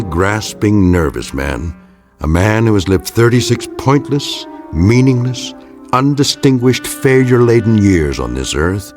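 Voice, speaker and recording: Low-voiced, portentous male radio narrator. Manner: measured, portentous. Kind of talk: dramatic scripted radio narration.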